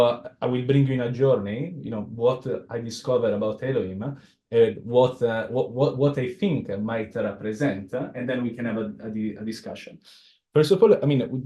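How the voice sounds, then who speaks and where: Italian-accented man, dark voice, hesitant. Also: mid-range voice. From Italy. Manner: hesitant, many filler sounds, non-native phrasing. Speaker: presenter. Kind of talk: informal lecture to a study group. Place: crowded bookshop room.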